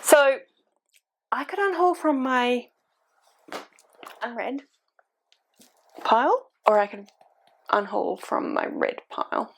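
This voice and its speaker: A woman's voice: on the high side, big pitch swings, slow, vocal fry, long pauses. A woman in her forties chatting casually to camera as a book vlogger, thinking aloud and poking fun at herself. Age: 40s.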